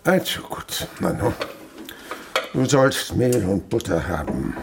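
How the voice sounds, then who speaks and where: An actor playing a miserly old French father in a German radio play, grumbling, domineering and gravelly. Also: lowish voice, very animated, slow, background noise, full character voice. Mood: grudging. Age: older. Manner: grudging, stammers at times.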